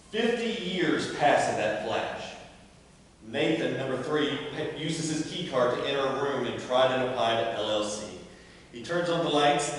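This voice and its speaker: A man's voice: medium pitch, a little hiss or hum. Man reading stage directions in an echoey hall. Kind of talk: table reading. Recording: echoey hall, far from the mic.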